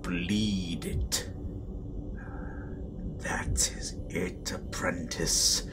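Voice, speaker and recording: Man acting a sinister, slow, whispering Sith master. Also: low-pitched, fairly even intonation. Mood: quietly approving. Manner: slow, breathy whispering with deliberate pauses. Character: sinister Sith master, male, patient, commanding, coaxing his apprentice. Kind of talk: dramatic roleplay audio, addressing the listener. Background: music.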